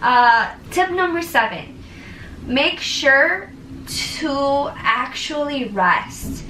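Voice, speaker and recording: A bright-voiced young woman chatting straight to camera in an earnest, filler-heavy way. She sits a little back from the mic, so her voice rings around a bare, echoey room with a faint hiss of ambience under it. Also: high voice, animated, very slow, far from the mic. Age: young adult. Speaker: vlogger. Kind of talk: casual advice vlog.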